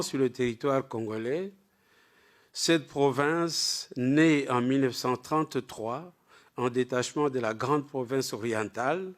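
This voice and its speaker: A man delivering a formal academic lecture from a prepared text, leaning emphatically on the openings of his sentences. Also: medium pitch. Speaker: lecturer presenting with maps.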